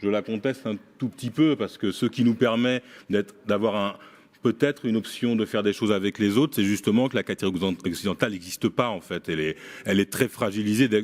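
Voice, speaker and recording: A man making an academic point in a workshop discussion, speaking deliberately with pauses and restarts. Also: lowish voice, darkish tone. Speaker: workshop participant.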